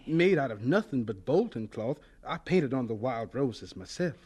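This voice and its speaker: Gentle dreamer homesteader in a radio drama, husky voice, folksy n earnest, pitch moves around a lot. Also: male voice, expressive, moderate pace. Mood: cheerful, modest.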